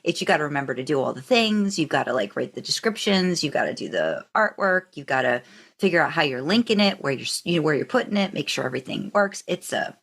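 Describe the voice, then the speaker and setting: A woman chats as a podcast host with a very animated, swooping delivery. She is informal and talkative, speaking in a loose conversational style. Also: deep voice, quick pace, vocal fry, breathy edge. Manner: chatty, informal, backchanneling. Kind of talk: casual podcast chat.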